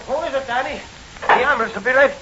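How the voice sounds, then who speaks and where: An actor playing an excitable, plainspoken beat cop with urgent tough talk in 1940s radio style. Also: male voice, extremely high pitch, fast. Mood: anxious, urgent. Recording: muffled old radio, crowd behind. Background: crowd noise.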